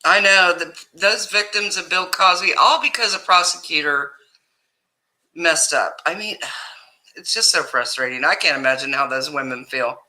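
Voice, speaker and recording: A woman hosting a casual livestream, greeting viewers by name in a loud voice that carries, chatting and laughing easily. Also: low voice, normal speed, low-quality recording. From Ohio, USA. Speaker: livestream host.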